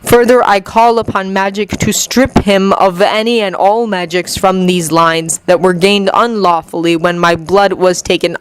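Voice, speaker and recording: A narrator voicing a brave teenage boy wizard, declaiming slowly and formally in an audiobook. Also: mid-range voice, clean tone, no room sound, lightly acted, low-quality recording.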